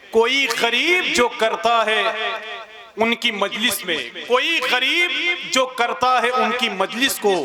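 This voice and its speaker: A man compèring a religious gathering. He talks to the audience between recited devotional couplets, and he chants each couplet loud, high and drawn out with repetitions. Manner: addresses the crowd directly with coaxing asides. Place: live religious gathering with a crowd.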